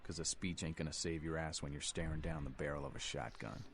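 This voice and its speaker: Deep-voiced gruff vampire rebel leader. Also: male voice, quick pace. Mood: gruff, instructive. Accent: American. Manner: tough, folksy, blunt, contemptuous. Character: gruff veteran vampire anarchist rebel leader, defiant streetwise brawler. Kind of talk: video game dialogue acting. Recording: music behind the voice.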